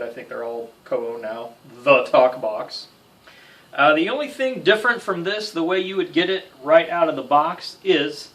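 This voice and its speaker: A man's voice: medium pitch, fairly bright tone, distant mic, low-quality recording. An American man in his thirties giving a casual guitar tutorial with self-deprecating, joking asides. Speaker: guitar instructor. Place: small home music room.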